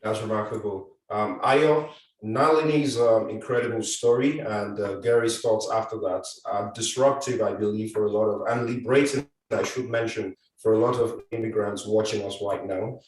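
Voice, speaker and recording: A man moderating a panel, speaking in a measured way with frequent ums. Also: fairly low pitch, steady tone. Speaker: host. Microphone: video call. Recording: very echoey and distant.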